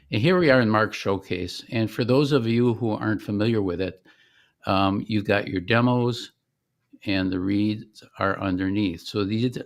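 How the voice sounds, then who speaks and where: Man, gravelly low voice, informal product demo, presenter talking through steps. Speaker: software founder giving a product demonstration. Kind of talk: informal screen-share demonstration.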